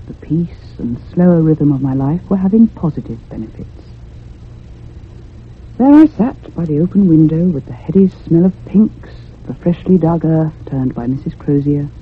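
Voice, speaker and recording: An actress playing a curious, insistent convalescent lady who narrates in a measured literary way in a radio drama. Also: deep voice, breathy edge, full character voice. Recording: muffled, phone-like old radio sound.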